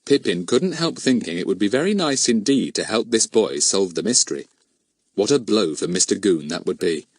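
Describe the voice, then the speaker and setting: Animated male storyteller, low-pitched contrast aside. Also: moderate pace, husky, dry recording. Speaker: audiobook narrator. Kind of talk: scripted children's story narration.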